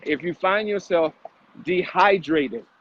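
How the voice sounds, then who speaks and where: A man giving emphatic, exhorting health talk while walking briskly, repeating phrases to drive them home. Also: high voice, fairly bright tone. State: exercising at a brisk walk while talking. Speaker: fitness vlog co-host. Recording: muffled audio with clear background noise.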